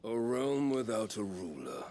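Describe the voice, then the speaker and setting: An actor playing a cruel, mocking older male warlord in a video game cutscene, speaking in a deep gravelly voice with slow, taunting, theatrical delivery. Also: big pitch swings, warm tone.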